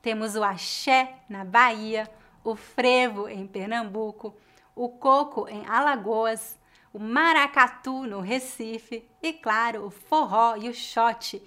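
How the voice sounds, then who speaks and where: Brazilian woman teaching, são paulo accent, slightly high voice, calm and clear. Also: slow. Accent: São Paulo Portuguese. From Northeast Brazil. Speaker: language teacher. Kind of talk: scripted educational narration.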